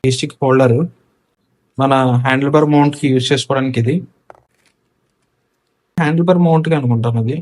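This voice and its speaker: Man, Telugu accent, medium pitch, casual unboxing narration, English tech terms mixed in. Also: fairly even intonation, quick pace, pauses often, a little mellow. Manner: mixes English technical words into talk. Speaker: motorcycle gear reviewer. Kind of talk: casual unboxing and installation walkthrough.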